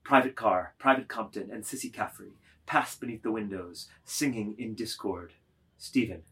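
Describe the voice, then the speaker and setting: Husky man narrating dramatic literature. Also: lowish voice, fairly bright tone. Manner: deliberate, declamatory, phrase by phrase.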